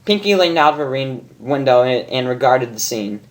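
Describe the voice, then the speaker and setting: A woman's voice: very deep voice, lively intonation, moderate pace. A woman narrating a story informally as a fan fiction reader, stumbling over lines and rereading them with self-mockery. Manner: stumbling, re-reads botched lines, self-mocking.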